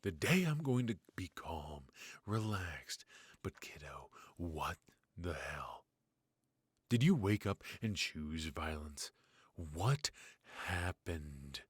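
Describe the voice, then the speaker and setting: A man playing a weary, ruthless yet fatherly mafia boss in an intimate ASMR roleplay, with a low, husky, breathy voice and a wry, sighing delivery. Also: sing-song, no room sound, exaggerated voice. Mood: wry exasperation. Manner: slow, pausing, sighing, wry.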